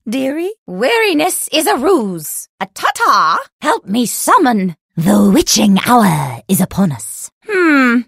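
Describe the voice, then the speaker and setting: A woman voicing an eccentric old granny witch in a video game, theatrical, doting and teasing with drawn-out exclamations. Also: fairly high pitch, huge pitch swings, vocal fry, fairly bright tone, slightly rough, dry recording, clean studio sound, heavily acted. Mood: doting, sly. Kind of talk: video game character voice lines.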